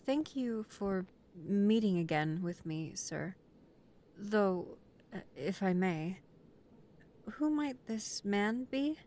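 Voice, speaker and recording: A woman's voice: mid-range voice, expressive, takes it slowly, warm tone, a little hiss or hum. A woman playing a nervous, deferential mafia recruit who speaks politely and formally, with hesitation, in an audio roleplay. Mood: nervous, apologetic.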